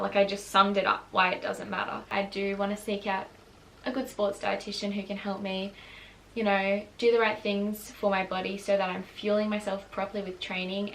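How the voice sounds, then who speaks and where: A young woman speaking candidly to camera in a personal vlog, earnest and confessional, often pausing and restarting her sentences. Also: mid-range voice, vocal fry, a bit bright, slight background noise, poor audio. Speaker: vlogger and runner.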